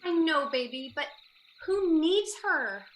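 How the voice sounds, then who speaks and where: A woman's voice: sits high, expressive, slow, slight background noise, low-quality recording. A young woman playing a sweet, good-natured, effusive sorority girl in a dramatic reading. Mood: consoling.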